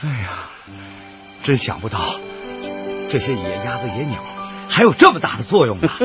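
A man's voice: medium pitch, very animated, normal speed, natural voice. A man acting as a plain-spoken, earnest working-class boatman in a radio drama, talking in a folksy, colloquial way. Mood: marvelling. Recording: dull band-limited radio drama with music.

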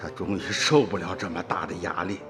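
A man's voice: deep voice, fairly even intonation, a little fast. An older man with a Shaanxi accent, narrating a radio drama in a folksy way as a grandfather. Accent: Shaanxi dialect. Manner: storytelling, folksy. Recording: reverberant radio drama with music.

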